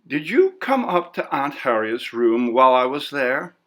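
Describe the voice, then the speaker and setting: A man's voice: mid-range voice, sing-song, unhurried, a bit bright, voice pushed up. A man voicing an anxious, evasive, meek woman in a story, speaking in a hesitant, defensive way. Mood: weakly uneasy. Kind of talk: audiobook character voice.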